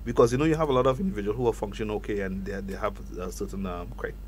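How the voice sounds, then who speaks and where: Halting man with a Trinidadian accent. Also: on the low side, fairly even intonation, darkish tone, slight background noise. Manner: halting, repeats words often. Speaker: guest expert. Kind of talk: talk show discussion.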